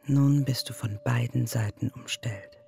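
A woman's voice: rumbling low, fairly even intonation, measured pace, gravelly, mellow, slight background noise, full character voice. A woman playing a taunting inner voice, narrating insistently in the second person in a literary radio play. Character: taunting inner voice addressing the wife as you. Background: music.

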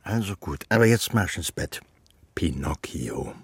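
A man playing a kindly, tired old woodcarver father in a children's radio play, explaining things hesitantly and being gently firm. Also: very deep voice, very animated, measured pace, breathy edge, no room sound, full character voice.

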